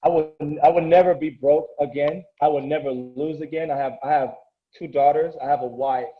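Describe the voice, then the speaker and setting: A man's voice: fairly high pitch, fairly even intonation, brisk, narrow, tinny audio. A man who coaches and grew up speaking Korean, talking earnestly and motivationally in a casual interview, often restarting his sentences.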